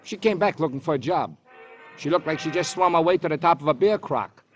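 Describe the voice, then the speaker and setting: An actor playing a fussy, self-righteous bar owner in an old radio crime drama, pompous and long-winded, with formal turns of phrase. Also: male voice, on the high side, lively intonation, fairly quick, faint music behind, close to an everyday voice. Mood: self-righteous, insistent. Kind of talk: 1950s American radio crime drama acting.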